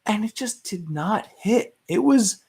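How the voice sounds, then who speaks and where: Male panelist, higher voice, casual discussion, animated storyteller who acts out reactions. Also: unhurried, creaky, husky. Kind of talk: casual movie discussion chat.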